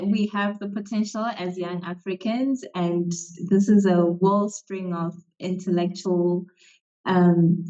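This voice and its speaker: A young woman from Zimbabwe, a technology policy writer, speaking calmly and evenly as a guest in an interview over a video call. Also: lowish voice. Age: young adult. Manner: calm, measured speech. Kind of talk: conversational interview. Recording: dull, muffled lo-fi sound.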